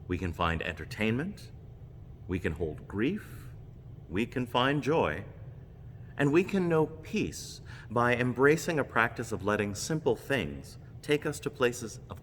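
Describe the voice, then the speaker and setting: A man delivering a reflective scripted homily as a minister, in a measured, solemn, deliberate manner. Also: fairly low pitch, a bit bright. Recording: long reverberant hall, clear background noise.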